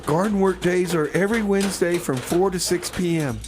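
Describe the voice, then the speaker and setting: A man's voice: high-pitched, fairly quick, a little mellow. A man hosting a community radio show in a laid-back, informal way, with casual comic banter. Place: radio studio. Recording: faint noise on radio broadcast.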